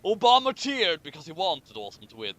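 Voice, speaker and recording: Bright, high-pitched man reading aloud with commentary. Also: huge pitch swings, fairly quick. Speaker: co-host reading fan fiction aloud with commentary. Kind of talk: amused read-aloud commentary and casual chat.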